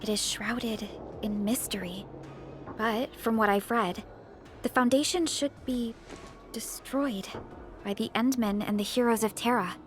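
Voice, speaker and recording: A knowledgeable young heroine of a video game, voiced by a woman, explains things carefully and earnestly. Her voice is fairly high and composed, with some music behind it. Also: measured pace, natural voice. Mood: thoughtful, informative. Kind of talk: video game story voice acting.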